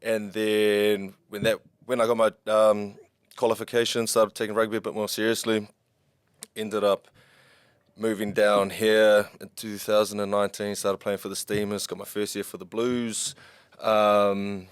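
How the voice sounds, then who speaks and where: A man's voice: on the low side, a little slow, clean tone, no room sound, clean studio sound. Laid-back New Zealand man in his thirties. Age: 30s. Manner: relaxed, laid-back, chatty. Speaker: podcast guest, rugby player. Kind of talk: casual chat.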